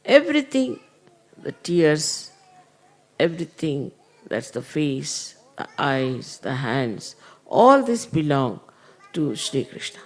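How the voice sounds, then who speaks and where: A middle-aged man gives an unhurried spiritual talk to followers, explaining with many "uh" pauses. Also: on the high side, big pitch swings. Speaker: spiritual teacher.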